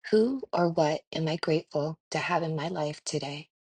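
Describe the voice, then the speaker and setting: A woman leading a guided meditation in a gentle, soothing, unhurried way with pauses. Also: low voice, breathy edge, dry recording.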